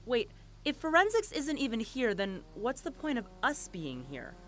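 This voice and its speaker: A woman's voice: fairly high pitch, huge pitch swings, normal speed, a little hiss or hum. A woman acting a skeptical, sarcastic police investigator with a wry, questioning manner in an audio drama. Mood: sarcastic, puzzled.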